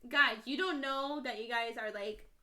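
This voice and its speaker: A young woman in her early twenties hosts a relaxed podcast chat. She tells stories in a rush of "like"s and giggles. Her voice sits a little high and rings very bright. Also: moderate pace. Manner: chatty, giggly, storytelling with lots of "like". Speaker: podcast host. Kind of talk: casual couple's podcast chat.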